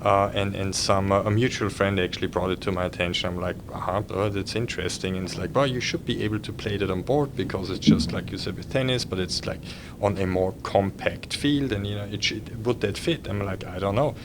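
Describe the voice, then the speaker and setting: A man's voice: fairly low pitch, brisk, noisy background. A middle-aged man with an Austrian accent, a cruise executive speaking hesitantly with many fillers and restarts. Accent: Austrian German. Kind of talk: podcast conversation.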